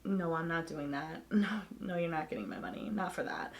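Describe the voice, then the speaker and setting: Hoarse woman vlogger chatting bluntly. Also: low-pitched, expressive, brisk. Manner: candid and opinionated, blunt about products. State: hoarse, losing her voice. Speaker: beauty vlogger. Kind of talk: casual to-camera chat.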